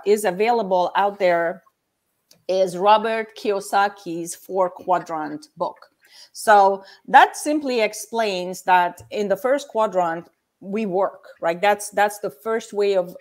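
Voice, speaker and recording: A woman in her fifties from Hungary with a Hungarian accent, a guest explaining things step by step in a casual chat. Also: fairly low pitch.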